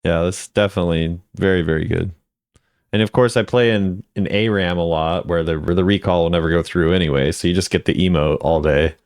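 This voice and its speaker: A man's voice: low-pitched, steady tone, fairly quick, no echo, clean studio sound. A man hosting a gaming video, giving casual commentary with informal, musing reactions.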